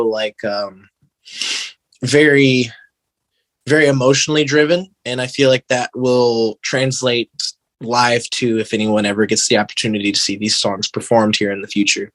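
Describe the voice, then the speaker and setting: A man's voice: medium pitch, a bit bright, no echo, poor audio. An American man talking casually as an interview guest, earnest and informal, with slangy phrasing and lots of "like". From American Midwest. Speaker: podcast guest, band guitarist.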